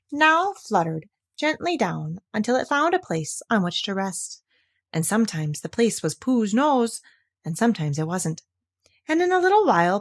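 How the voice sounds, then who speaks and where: Animated woman reading a children's story. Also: mid-range voice. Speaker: storybook reader. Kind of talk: expressive children's story narration.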